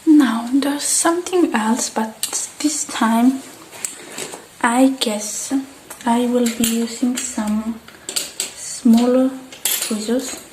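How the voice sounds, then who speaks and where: A woman doing an ASMR repair roleplay, speaking in soft, breathy whispers and hushed, halting murmurs close to the mic, addressing the listener. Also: fairly high pitch, a little hiss or hum, low-quality recording. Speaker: ASMR artist.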